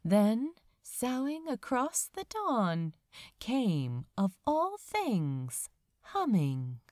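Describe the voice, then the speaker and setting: A woman reading fiction aloud as an audiobook narrator, dramatically, with lingering phrases and pauses. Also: on the high side, wildly swooping pitch, darkish tone, no echo, studio quality. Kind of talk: expressive scripted fiction narration.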